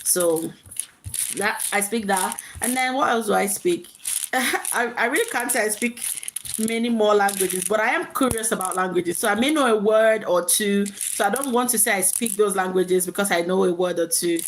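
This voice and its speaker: A woman's voice: medium pitch, normal speed, slight background noise. A woman hosting a casual live chat, speaking reflectively in short, repeated phrases to her viewers. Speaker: live stream host.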